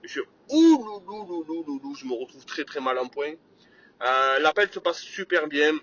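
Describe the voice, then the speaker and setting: A man's voice: on the high side, moderate pace, sharp tone, lo-fi sound. A man hosting his podcast, telling stories with enthusiasm and mixing in English words. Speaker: podcast host. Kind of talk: energetic solo podcast storytelling.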